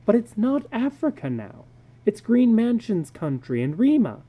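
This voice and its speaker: Casting: man playing an anxious mother in a dramatic story, high and mellow, softly worried. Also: sing-song, measured pace, husky, heavily acted. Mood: uneasy.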